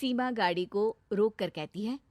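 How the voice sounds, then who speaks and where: A woman narrating a scripted animated village story. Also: medium pitch, measured pace, dry recording, clean studio sound.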